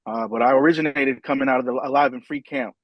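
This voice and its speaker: A man who works as a reporter, introducing himself on a radio show in a relaxed, humble way. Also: medium pitch, quick pace, no room sound, lo-fi sound.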